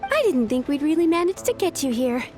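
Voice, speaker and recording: A woman's voice: high-pitched, big pitch swings, a little fast, darkish tone. A woman voicing a youthful, eager, earnest secretary in a video game. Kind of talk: scripted video game dialogue. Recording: background music behind voice.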